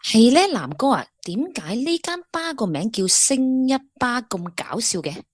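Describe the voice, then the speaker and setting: A woman's voice: a little high, big pitch swings, normal speed. A woman with a Hong Kong Cantonese accent playing a curious, amused young office worker in a radio drama.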